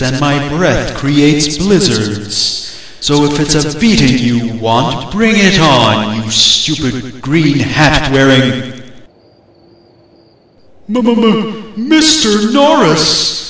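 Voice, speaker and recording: A man's voice: on the high side, a bit bright, full character voice. A man voicing a giant rock-creature guardian of a temple in a parody dub, with pompous proclamations. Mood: cowed, apologetic. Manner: pompous, slow threatening proclamations. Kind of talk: fan parody cartoon dub. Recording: very echoey, distant from mic.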